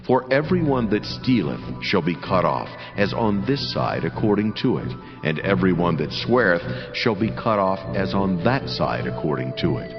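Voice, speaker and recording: A mighty, solemn angel acted by a man declaims scripture in a very low voice. The delivery is stately and commanding. It rings in long reverb over clear background music, with a slightly muffled sound. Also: very animated, normal speed, hardly any pauses. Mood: solemn, authoritative. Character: solemn, commanding angel speaking God's word, male. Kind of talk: dramatized scripture reading.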